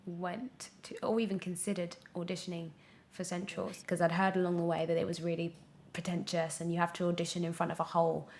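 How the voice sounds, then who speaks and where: A woman's voice: on the low side, fairly quick, a little hiss or hum. Young brit woman chatting in an interview, telling little stories, giggly, quotes herself a lot. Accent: British. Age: young adult. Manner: chatty, animated storytelling with self-quotes and giggles. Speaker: actor, podcast guest. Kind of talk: casual interview anecdotes.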